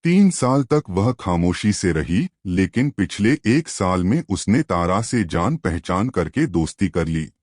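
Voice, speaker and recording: A man's voice: deep voice, big pitch swings, a little slow, few pauses, a little mellow, dry recording, clean studio sound. A man narrating a scripted suspense story as a storyteller.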